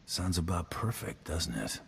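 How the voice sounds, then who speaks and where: A man voicing a cocky, deadpan bounty hunter in a video game, dry and self-assured. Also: low voice, a little slow, creaky, slight background noise, full character voice. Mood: dry, wry.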